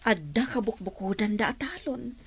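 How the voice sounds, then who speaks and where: A woman's voice: fairly high pitch, lively intonation, normal speed, husky, heavily acted. Patient, aggrieved village mother, Ilocano accent acted by a woman. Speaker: actor in audio drama. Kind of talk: Ilocano radio-style drama acting.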